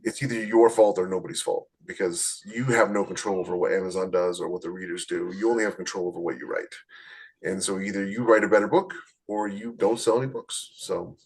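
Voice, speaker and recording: A man giving casual, blunt advice as a podcast host, plain-spoken, with a slightly husky voice. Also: deep voice, fairly even intonation, brisk. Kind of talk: casual advice chat about book publishing.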